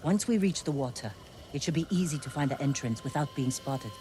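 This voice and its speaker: A woman's voice: low voice, animated, a little fast, background noise. A woman playing a tough, confident young scout in a video game, speaking plainly and with assurance. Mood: confident, urgent. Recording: loud cinematic music behind.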